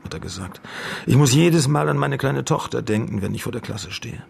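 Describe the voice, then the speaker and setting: A man playing a sober, world-weary lawyer in a naturalistic radio drama, gravelly and husky, telling things in measured, clipped sentences. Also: mid-range voice, animated, exaggerated voice. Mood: somber, reflective. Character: male lawyer recalling an old case, sober, world-weary.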